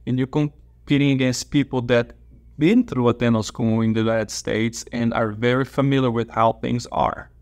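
A man in his thirties, a native Portuguese speaker from Brazil, gives advice straight to camera while seated at a desk in a small office. He gestures with open hands as he explains, repeats his key points for emphasis, and is recorded on a clip-on lapel mic. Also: medium pitch. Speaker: dentist and educator.

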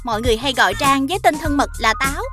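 A woman doing a kind cartoon schoolteacher with a high voice. She calmly gives instructions to the class. Music plays behind her. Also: racing, close to an everyday voice. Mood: welcoming. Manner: calm, instructive. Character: young female schoolteacher, kind and orderly. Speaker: teacher. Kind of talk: cartoon voice acting.

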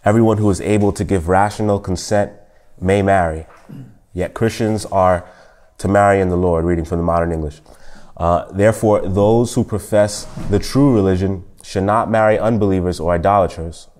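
A man in his thirties teaching a church Sunday school lesson, a little nasal from a cold, measured and fond of asking "right?". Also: low-pitched, fairly even intonation, darkish tone. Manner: measured, repeats "O Lord" and "right?". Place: church meeting room at a table.

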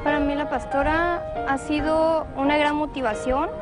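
A woman's voice: high voice, fairly even intonation, normal speed, music in the background. A woman giving an earnest testimonial as a church member in an interview.

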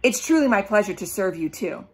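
A woman's voice: medium pitch, expressive, brisk, a little mellow, breathy edge. A woman voicing an eager, sweet-talking, obliging young boy in a children's storybook, in an earnest, gushing manner. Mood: delighted, eager. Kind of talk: children's storybook read-aloud.

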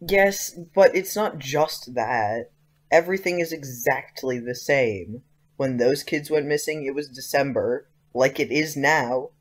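An inquisitive teenage schoolboy acted by a man in an audio play. He has a medium-pitched male voice. He talks casually but insistently, with an earnest, persistent temper. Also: a little slow, lightly acted. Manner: casual youthful phrasing, insistent. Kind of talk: audio drama acting.

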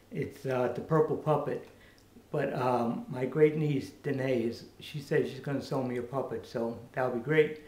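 A middle-aged man chatting casually to camera in a halting, hesitant way, in a room at home. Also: mid-range voice, a little mellow. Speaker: amateur puppeteer. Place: indoors, small room at home. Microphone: camera mic at short distance. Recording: echoey room.

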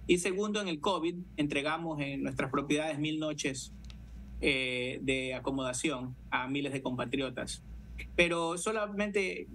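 A man's voice: on the high side, fairly bright tone. A man in his thirties with a Guayaquil Ecuadorian accent, answering interview questions over a video call. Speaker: interview guest, city councillor. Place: living room, indoors. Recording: noisy video call audio.

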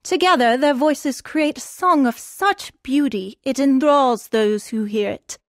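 A genteel, scholarly lady creature from a fantasy game, with a high-pitched voice. She speaks in polite, formal phrases and explains things helpfully. The recording is dry and close. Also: no echo. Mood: storytelling. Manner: polite, formal, storytelling delivery. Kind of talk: scripted video game character dialogue.

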